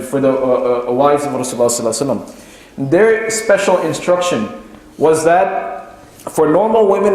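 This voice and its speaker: A man giving an Islamic religious lecture, explaining haltingly and repeating key phrases for emphasis. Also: on the high side, a little mellow. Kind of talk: sermon-like lecture retelling and explaining a religious story. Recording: very echoey room with faint noise.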